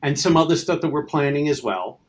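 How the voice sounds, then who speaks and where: A man's voice: a little high, expressive, fairly quick. A man talking casually as a guest writer and producer in a conversation. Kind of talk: casual chat.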